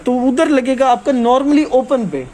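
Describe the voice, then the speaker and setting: A man's voice: very high-pitched, a little slow, warm tone. A man giving an informal hands-on technical lesson as a trainer, explaining step by step and often checking that listeners follow.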